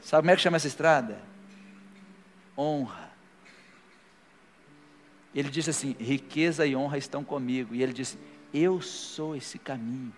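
A man's voice: medium pitch, normal speed, long pauses, slight background noise. A male pastor preaching a sermon to a live congregation and leading a prayer, his delivery rising to fervent declarations. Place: church hall, live before a congregation. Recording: long hall reverb with faint music.

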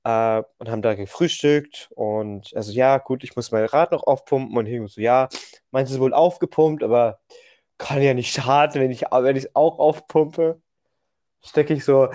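A young man co-hosting a podcast in casual conversation, telling things in a halting way and often correcting himself. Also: mid-range voice, dark tone. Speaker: podcast co-host. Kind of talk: casual chat.

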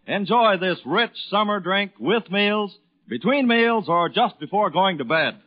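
A man's voice: high voice, animated, unhurried. A man with an American accent announcing on 1940s radio, reading a scripted advert with polished, emphatic salesmanship. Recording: dull lo-fi 1940s radio broadcast recording.

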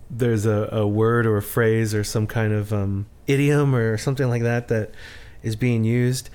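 A man co-hosting a podcast in casual chat, rambling and self-deprecating with halting restarts. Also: lowish voice, level tone, darkish tone, smooth. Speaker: podcast co-host.

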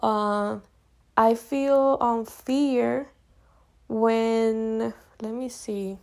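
A woman's voice: a little high, steady tone, very slow, creaky, darkish tone. Hesitant young woman. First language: Spanish. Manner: hesitant, searching for words. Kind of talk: casual talk about fears.

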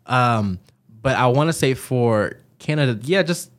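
A man's voice: mid-range voice, animated, measured pace. Southern guy from georgia rambling on a podcast, lots of likes and ums. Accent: Southern American. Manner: chatty storyteller, frequent likes and ums. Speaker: podcast co-host. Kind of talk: casual chat.

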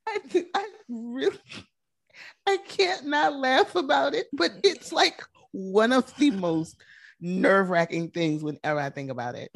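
A woman's voice: a little high, animated, unhurried, creaky. Crass, giggling woman. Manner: crass, laughs through her words. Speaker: podcast co-host. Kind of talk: casual comedic chat.

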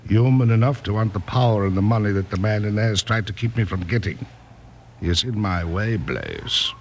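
An actor playing a cold, scheming villain in an old radio western, speaking with mocking, formal politeness. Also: male voice, on the low side, brisk, natural voice. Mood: commanding. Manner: smooth, mocking, overly formal. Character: cold, scheming male villain who orders killings. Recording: noisy old radio drama recording.

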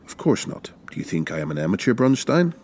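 A man voicing a menacing, glaring revolutionary leader in a story reading, accusing and forceful in manner. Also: lowish voice, big pitch swings, fast talker, a little hiss or hum, pitched above the performer's own voice. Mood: defiant. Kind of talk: dramatic short story reading.

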